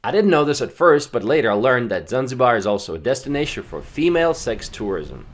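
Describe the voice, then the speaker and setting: A man narrating a travel vlog in a relaxed, conversational voice-over. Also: mid-range voice, brisk, soft background music. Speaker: travel vlogger.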